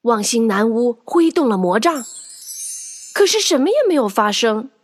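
A woman narrates a children's audio drama. Her voice sits a little high and moves expressively up and down, with music playing underneath. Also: big pitch swings, normal speed, breathy edge.

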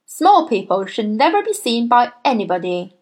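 A woman's voice: fairly high pitch, sing-song, normal speed, a little mellow. A woman voicing a huge, bullying headmistress in a children's storybook, barking and snapping with imperious contempt for children. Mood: scornful.

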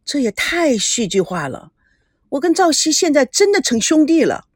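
A woman's voice: high voice, very animated, moderate pace, no echo, studio quality, voice pushed up. A woman voicing a cautious young grandson who asks careful questions in an audiobook. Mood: amazed.

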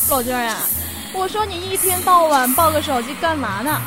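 An actress playing a brash, nosy, teasing friend in an amateur radio drama, exclamatory and nagging. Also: female voice, high-pitched, lively intonation, fairly quick, music in the background.

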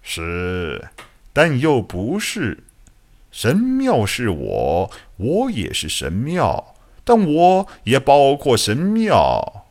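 A man voicing an ancient, calm and mysterious spirit of space in an audiobook, with a solemn, enigmatic manner. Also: mid-range voice, drawn-out words, exaggerated voice.

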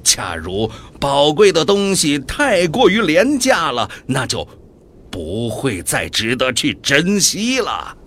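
An actor playing a cold, calculating devil in a radio drama, speaking in a deliberate, haughty manner. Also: male voice, fairly high pitch, huge pitch swings, a bit bright, full character voice. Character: calculating, cold male devil setting a planet's fate.

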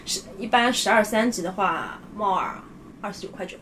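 A woman's voice: medium pitch, normal speed, fairly bright tone, slight background noise. A woman chatting casually in a group, explaining informally with examples.

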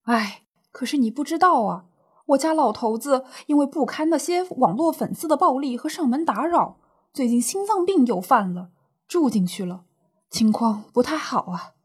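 Actress as older neighbourhood auntie running a snack shop: high voice, big swings, plaintive and indignant, radio drama. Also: female voice, animated, moderate pace, slightly rough. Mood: aggrieved, worried. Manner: plaintive, exclaiming, colloquial grumbling.

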